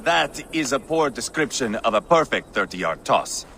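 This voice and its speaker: An actor playing a mocking, bloodthirsty Viking warrior in a video game, cocky and sardonic in delivery. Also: male voice, medium pitch, expressive, moderate pace, creaky. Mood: boastful. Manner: taunting, sardonic. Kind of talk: theatrical video game acting. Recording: loud ambient noise and hoofbeats behind.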